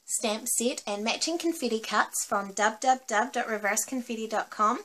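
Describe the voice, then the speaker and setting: A woman's voice: fairly high pitch, moderate pace, a bit bright. Chatty New Zealand woman. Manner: chatty, informal, cheerful. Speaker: craft tutorial host. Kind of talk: casual craft demonstration.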